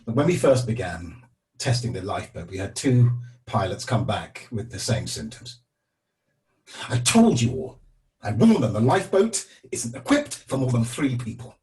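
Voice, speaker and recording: An actor playing a grim, defensive realist who delivers bad news in a dramatic script read. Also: male voice, lowish voice, very animated, moderate pace, a little mellow. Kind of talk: table read of a screenplay, dramatic dialogue.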